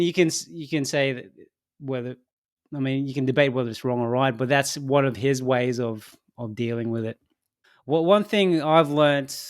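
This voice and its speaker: A young man co-hosting a casual podcast who speaks English as a second language, thinking aloud with pauses and restarts. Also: medium pitch. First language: not English. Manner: thoughtful, halting, laughs easily. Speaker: podcast co-host.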